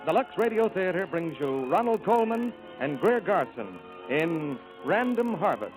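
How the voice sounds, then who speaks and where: A man's voice: a little high, sing-song, a little slow, rough recording. A man announcing a 1940s radio show in a grand, proclaiming style on an old recording. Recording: old radio recording, loud music behind.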